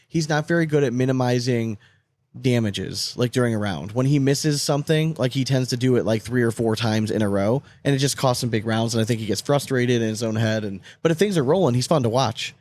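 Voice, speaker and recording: A man hosts a sports podcast in a casual, conversational way. He talks fast, with a middling pitch, and the sound is dry with hardly any room in it. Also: darkish tone, no room sound. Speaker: podcast host.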